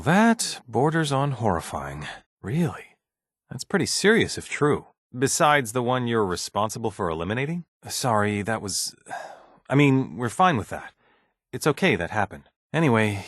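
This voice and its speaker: An actor playing a mild, bureaucratic scientist in a video game, polite, measured and slightly uneasy, with a hesitant, deferential manner. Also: male voice, medium pitch, fairly bright tone, dry recording, studio quality. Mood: concerned, probing, then awkward, matter-of-fact. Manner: hesitant, deferential, measured office-professional speech. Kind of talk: video game dialogue acting.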